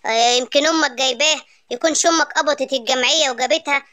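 A woman's voice: high voice, pushed and bright, no echo, studio quality. A woman voicing a harried, complaining father animal in a children's story, with an Egyptian accent. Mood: grumbling. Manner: hurried, complaining. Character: harried, grumbling animal father, short of money.

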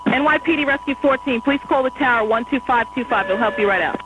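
A woman air traffic controller in an airport tower, giving quick, polite instructions to pilots over the radio. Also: a little high, lo-fi sound, over music. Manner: brisk, polite and apologetic. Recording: noisy radio transmission with hum.

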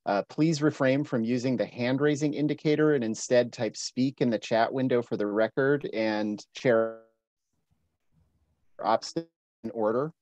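A man on city staff reading out meeting procedures over a video call in a measured, scripted way. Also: medium pitch, darkish tone. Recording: dull, dry video-call audio.

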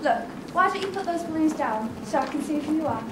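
A woman's voice: sits high, animated, fairly quick, noisy background. A young woman playing a sweet, girlish fairy-tale princess in a stage pantomime, projecting innocently. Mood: breezy, curious. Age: young adult. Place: theatre stage before an audience. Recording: echoey distant theatre stage, music behind.

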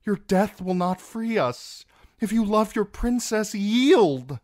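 A man voicing a desperate, headstrong young princess in a fantasy audiobook, urgent and high-pitched. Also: animated, slow, darkish tone, no room sound, clean studio sound. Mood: pleading.

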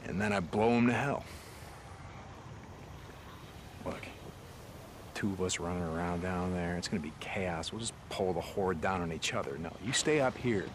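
An actor playing a hardened biker soldier in a game cutscene, talking roughly and bluntly, swearing freely. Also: male voice, low voice, brisk, slight background noise, natural voice. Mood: determined. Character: hardened male drifter biker, gruff and stubborn. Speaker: biker soldier, main character. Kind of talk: video game cutscene acting. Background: game score music.

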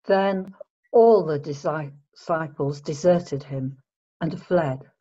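A woman narrates a scripture story from a script. Her voice is on the low side and she reads very slowly. The sound is slightly muffled and lo-fi. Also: mellow, husky. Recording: muffled lo-fi home recording.

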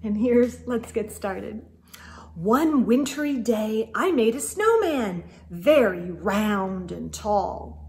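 A middle-aged woman hosting a children's storytime, reading a picture book aloud in an expressive, sing-song way and talking directly to her young listeners. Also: medium pitch, slow. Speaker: host of children's storytime show. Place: indoors in a room lined with bookshelves.

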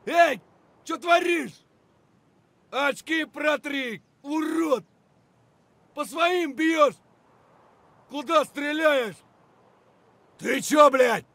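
An actor playing an aggressive, foul-mouthed mercenary in a military shooter game, giving short, punchy combat callouts. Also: male voice, extremely high pitch, creaky, fairly bright tone, dry recording, exaggerated voice.

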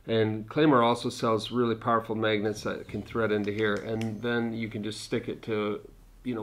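Middle-aged man presenting a product casually. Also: medium pitch. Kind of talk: casual product demonstration to camera. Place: living room of a log cabin, indoors.

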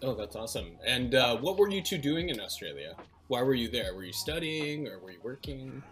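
A young man hosting a casual relationship podcast, upbeat and quick with affirmations, speaking into a headset mic on a video call. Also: medium pitch, very animated, a bit bright. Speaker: podcast host. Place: indoors, home room.

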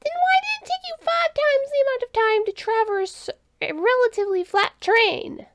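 A woman's voice: very high voice, normal speed, voice pushed up. A woman voicing a small winged alien, inquisitive and nitpicking, with a piping, insistent manner in a storybook narration. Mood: skeptical.

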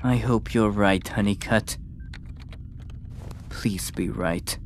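A man's voice: fairly low pitch, slow pace, heavy background noise. A man voicing an anxious, sceptical older scientist in a comic dub. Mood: worried.

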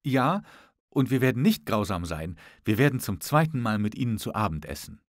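A witty young lady, voiced by a male narrator in his own lowish register answers with polite scepticism. The delivery is formal and teasing, in a dry audiobook recording. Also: big pitch swings, dry recording. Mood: spirited. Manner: formal, teasing, quick to retort.

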